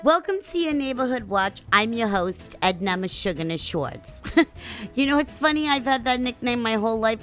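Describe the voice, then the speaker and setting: A woman's voice: medium pitch, a bit bright, close to an everyday voice. A gossipy, kvetching New York grandmother with a thick New York accent, hosting her own neighborhood watch in a comedy sketch, as a woman puts her on. Faint music plays behind her.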